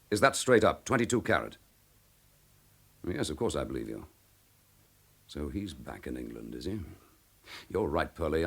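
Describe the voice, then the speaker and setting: A very animated, low-pitched police inspector in a radio crime drama. He is gruff, intense and impatient, driving his lines with clipped determination. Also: heavily acted. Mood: brisk, interested.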